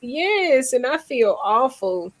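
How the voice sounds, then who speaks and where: A woman's voice: high voice, sing-song, slow. Woman host with a stuffy cold voice, earnest, run-on talker, casual call. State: congested, stuffy nose. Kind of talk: casual video call chat.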